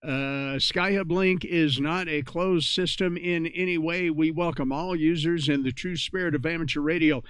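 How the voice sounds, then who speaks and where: Clear, higher-voiced man hosting radio net. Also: fairly even intonation, moderate pace, few pauses, a bit bright, dry recording, studio quality. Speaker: amateur radio net host. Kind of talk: reading a radio net preamble, informal announcing.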